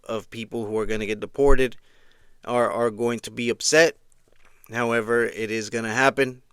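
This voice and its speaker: A man's voice: mid-range voice, fairly bright tone, smooth, no echo, clean studio sound. A man who grew up in Eastern Washington, giving a casual spoken commentary and often checking in with "right?". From Mexico, raised in Eastern Washington. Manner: conversational, frequent restarts and "right?" check-ins.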